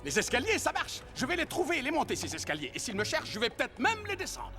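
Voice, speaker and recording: A chatty cartoon donkey who babbles without pause. His voice sits very high and leaps all over the place. A loud film score plays underneath. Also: exaggerated voice. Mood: boastful. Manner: nonstop nervous babbling, flattering. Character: chatty wisecracking male donkey sidekick. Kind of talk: animated film dub. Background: film score music.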